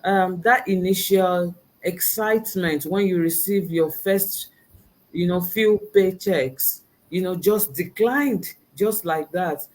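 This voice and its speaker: Slow soft-spoken middle-aged woman. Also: lowish voice, poor audio. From Nigeria. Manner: soft-spoken, hesitant, many "you know"s, laughs often. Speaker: guest, educator and business owner. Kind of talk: interview answers on a video call.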